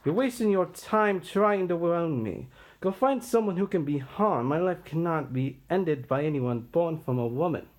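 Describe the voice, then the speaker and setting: A man's voice: on the high side, animated, moderate pace, close to an everyday voice. A man playing a bitter, defiant tyrant king facing his doom, declaiming in a brooding, combative way.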